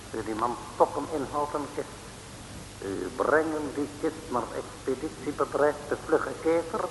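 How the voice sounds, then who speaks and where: An actor playing a stern, secretive gang boss with a foreign accent, giving formal orders in broken grammar for a radio serial. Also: male voice, medium pitch, fairly bright tone. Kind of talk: 1960s Dutch radio serial acting. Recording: echoey, reverberant old radio recording, hiss.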